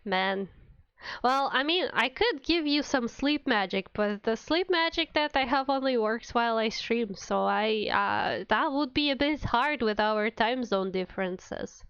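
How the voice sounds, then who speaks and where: A woman's voice: fairly high pitch, expressive, a little slow, a bit bright, clear, resonant tone, dry recording, muffled recording. A woman streamer chatting casually with her viewers while playing a game, sounding a little under the weather. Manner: chatty, addresses viewers by name. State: sick with a cold. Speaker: VTuber streamer.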